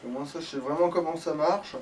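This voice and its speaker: A man's voice: on the high side, fairly quick, far from the mic, background noise. A man giving casual, sweary and exasperated commentary while he plays a video game. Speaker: let's player playing the game. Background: video game music.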